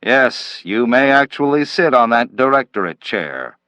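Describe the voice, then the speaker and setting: A man's voice: medium pitch, sing-song, measured pace, sharp tone, dry recording. A man voicing a brusque, intense head of a time-travel agency, speaking emphatically and impatiently in a story reading. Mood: brisk.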